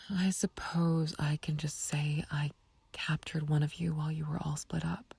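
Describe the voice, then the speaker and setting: A woman playing a smug, taunting, playfully flirtatious villain in an ASMR roleplay, with a husky, breathy low voice and a teasing, unhurried, condescending manner. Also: flat delivery, a little mellow, dry recording, heavily acted. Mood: teasing, reluctant bargaining. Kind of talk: ASMR roleplay audio drama.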